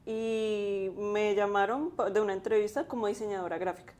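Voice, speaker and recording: A woman's voice: medium pitch, steady tone, normal speed, a little mellow. A woman, a graphic designer guest telling her story thoughtfully with many fillers. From Colombia. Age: 20s. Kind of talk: interview.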